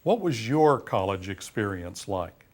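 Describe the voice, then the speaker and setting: A man's voice: fairly low pitch, sing-song, measured pace. A man interviewing a guest with formal, courteous questions.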